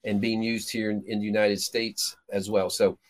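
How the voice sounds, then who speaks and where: A man's voice: lowish voice, little pitch movement, normal speed. A middle-aged man raised in Peru, hosting a casual talk show about his ministry trip, rambling through many restarts and fillers. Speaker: prophetic minister and show host. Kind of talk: casual chat.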